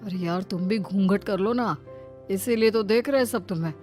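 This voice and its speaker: A woman's voice: mid-range voice, normal speed, over music, natural voice. A woman playing an anxious young village husband who pleads and placates in an audio moral story. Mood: anxious pleading.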